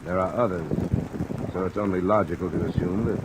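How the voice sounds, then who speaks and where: An actor playing a blunt, unflinching American insurance investigator, clipped and matter-of-fact, in the style of 1950s radio detective drama. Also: male voice, sits low, steady tone, a little fast, natural voice. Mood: firm, pressing. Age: young adult. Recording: old radio recording under loud rain noise. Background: loud rain.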